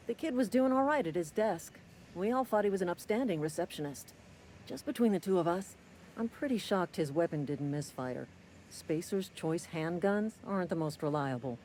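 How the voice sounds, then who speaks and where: A woman playing a gruff, jaded company-town worker, curt and deadpan, in a video game. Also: mid-range voice, normal speed, a little hiss or hum, close to an everyday voice. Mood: deadpan, cynical. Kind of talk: video game dialogue acting.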